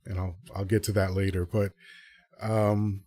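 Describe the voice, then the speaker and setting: A man's voice: deep voice, flat delivery, measured pace, dark tone. A man from the Dominican Republic hosting a live podcast, talking through his thoughts in a rambling, earnest, self-correcting way. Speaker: podcast host. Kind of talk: casual solo commentary to a live audience.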